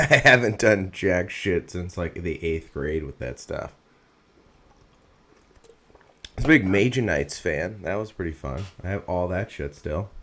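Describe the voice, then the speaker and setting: A man's voice: deep voice, animated, slow, long pauses, a bit bright. A man co-hosting a casual talk show, laid-back, laughing often and swearing. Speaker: podcast co-host. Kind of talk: casual chat.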